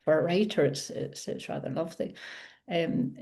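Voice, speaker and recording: A woman's voice: sits low, normal speed, gravelly, dark tone. A middle-aged Scottish woman, a children's author, chatting in an interview, laughing often and poking fun at herself. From Scotland. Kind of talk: casual interview chat.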